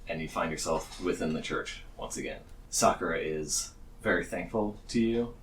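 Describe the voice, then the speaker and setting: A man narrating a tabletop fantasy game as game master, dramatic and deliberate. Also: low-pitched, animated, creaky, a bit bright. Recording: echoey, slightly distant, faint ambient noise.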